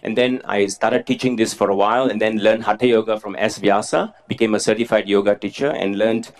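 A man's voice: lowish voice, fairly even intonation, a little fast. A man from Singapore in his forties giving a conference talk as a mindfulness teacher, earnest and self-effacing, explaining with frequent fillers. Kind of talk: conference presentation. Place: conference room with audience.

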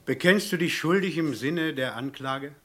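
Stern judge, formal, loud, slightly high voice, radio Western. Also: male voice, normal speed. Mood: stern, official. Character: stern, formal frontier judge passing sentence. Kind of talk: theatrical radio drama acting.

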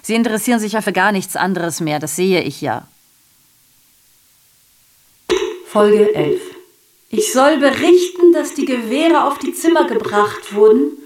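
A woman's voice: medium pitch, moderate pace, darkish tone, natural voice. Actress as sardonic, declamatory female messenger. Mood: accusing, ironic. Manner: sardonic, declamatory, self-interrupting. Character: ironic, rambling female messenger addressing the audience. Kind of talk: theatrical radio drama monologue.

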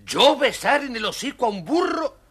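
Un actor que interpreta a un rey pomposo y fanfarrón, de voz rasposa y teatral, en un cuento infantil grabado. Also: male voice, extremely high pitch, very animated, normal speed, fairly bright tone, heavily acted. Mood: indignant. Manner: theatrical, indignant, sputtering. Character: pompous, blustering old king disguised as peasant. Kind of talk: children's audio story acting.

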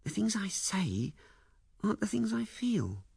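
A man voicing a gentle young wife who speaks in a soft, tentative whisper. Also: high-pitched, wildly swooping pitch, measured pace, vocal fry, breathy edge, no room sound, clean studio sound, pitched above the performer's own voice, exaggerated voice. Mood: tender.

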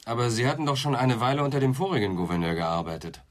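An actor playing a controlled, relentless police inspector questioning a suspect in a German radio crime drama, insistent and dryly ironic. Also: male voice, mid-range voice, animated, fast, natural voice. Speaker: interrogator.

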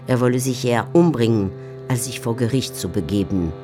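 Female literary narrator, composed and measured, scripted radio delivery over faint music. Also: a bass voice.

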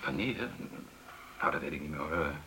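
An actor playing an evasive middle-aged man in a naturalistic radio drama, halting and stammering as he talks to his partner. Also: extremely low pitch, animated, creaky, sharp tone, a little hiss or hum, heavily acted. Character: evasive, hesitant middle-aged male partner, casually teasing.

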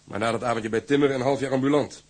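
An actor playing a jovial ship's engineer greeting an old shipmate in a radio drama, his voice husky. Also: medium pitch, steady tone, fast, darkish tone, natural voice. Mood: chummy, teasing.

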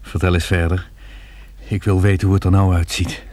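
A man's voice: low voice, level tone, moderate pace, no room sound, lightly acted. An actor playing a blind survivor in a Dutch radio drama, asking eager, anxious questions of his companion. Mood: wondering. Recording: loud car engine noise behind dialogue. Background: car engine.

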